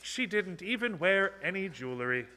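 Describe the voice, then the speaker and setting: A man narrating a puppet show for children in simple, measured, theatrical phrases. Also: sits high, very animated, sharp tone. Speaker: storyteller, narrator. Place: social hall, live broadcast.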